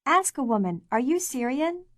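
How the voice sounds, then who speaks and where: A woman with a slightly raised pitch reads course prompts in a clear, measured manner. The sound is close and dry, with no room in it. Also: sing-song, no room sound. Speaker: language course narrator. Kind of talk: scripted instructional narration.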